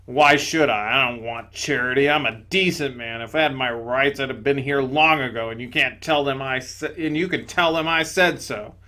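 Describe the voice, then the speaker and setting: A man voicing a blustering, self-righteous big male ghost who talks loudly in rough, ungrammatical working-class speech, as part of a storybook reading. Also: medium pitch, moderate pace, few pauses, lightly acted.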